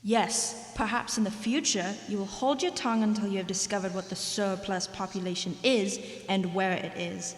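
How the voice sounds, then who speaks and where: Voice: mid-range voice, steady tone, normal speed, hardly any pauses, close to an everyday voice. A performer playing a solemn, stern spirit who admonishes a miser in a declamatory radio drama style. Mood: solemn reproach. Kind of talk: school radio drama acting. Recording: echoey hall with long reverb.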